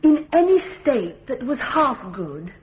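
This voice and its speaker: An actress playing an earnest, devoted daughter in a radio drama, articulate and reasoning. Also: female voice, sits high, big pitch swings, unhurried. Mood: earnest, pleading. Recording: narrow radio recording, faint hum.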